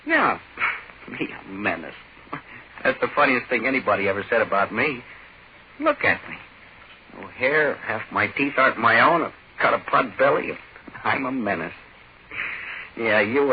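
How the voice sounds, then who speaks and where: Man playing an excitable, stammering little radio-drama businessman. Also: mid-range voice, normal speed, long pauses, natural voice. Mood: amused, indignant. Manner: stammering, protesting. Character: excitable bald pot-bellied little businessman, nervous and incredulous. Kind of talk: 1940s radio acting. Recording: dull, noisy 1940s radio recording.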